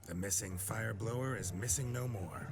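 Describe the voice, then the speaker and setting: A haughty noble, low and very husky. He speaks with posh, clipped command, lecturing those below him. Game dialogue. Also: normal speed, soft background music, heavily acted. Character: pompous male nobleman speaking through a witcher.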